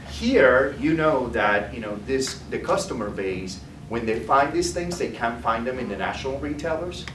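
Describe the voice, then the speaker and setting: A man giving a live product demo as a sales presenter, often tagging his points with "okay?". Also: fairly low pitch. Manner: tags lines with "okay?". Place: food trade demo room. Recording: echoey room, mic far off, noisy.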